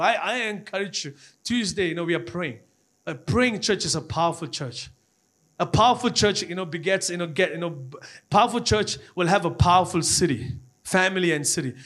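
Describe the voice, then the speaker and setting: A man's voice: high-pitched, fairly bright tone. A man from Malaysia with a Malaysian accent preaching an impassioned church sermon, repeating phrases as he urges the congregation on. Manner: repetitive, exhorting, often addressing the congregation.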